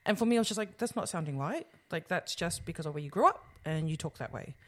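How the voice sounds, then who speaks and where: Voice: medium pitch, lively intonation, fast, fairly bright tone. A podcast co-host arguing earnestly in a casual debate, backing points with personal experience. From Pacific Islander community. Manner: earnest, explains with personal examples.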